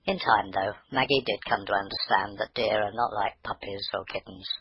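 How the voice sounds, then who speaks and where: A man's voice: on the low side, moderate pace, fairly bright tone, slight background noise, low-quality recording. A man narrating a scripted children's bedtime story as the storyteller.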